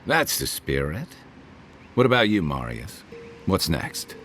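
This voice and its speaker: Male game companion: big-hearted rogue, deep register, animated, playful banter. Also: slow, slight background noise, heavily acted. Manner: teasing, playful, easygoing. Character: jovial, roguish, warm-hearted male adventuring companion. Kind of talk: conversational video game voice acting. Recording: voice over game music.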